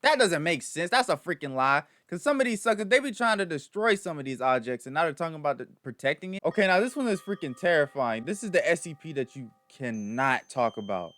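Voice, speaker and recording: A man hosting a reaction video with casual, informal, slangy and expressive commentary. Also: fairly high pitch, fairly quick, hardly any pauses.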